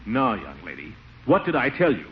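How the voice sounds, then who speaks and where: An actor playing a pompous, easily flustered, suspicious middle-aged city commissioner in a 1940s radio comedy, exclaiming and blustering. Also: male voice, on the low side, wildly swooping pitch, normal speed. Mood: stern, anxious. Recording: muffled, hissy 1940s radio recording.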